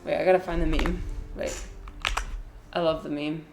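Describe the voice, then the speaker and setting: A woman's voice: low-pitched, level tone, normal speed, vocal fry, music in the background. A woman in her twenties chatting and giggling as she streams a video game, rambling casually. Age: 20s. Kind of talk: casual gameplay commentary. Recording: echoey room with faint noise.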